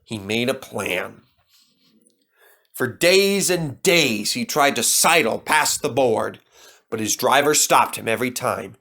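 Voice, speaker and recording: A man reads a scripted children's tale as storyteller. His pace is unhurried. His pitch rises and falls expressively with the narration. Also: animated, sharp tone.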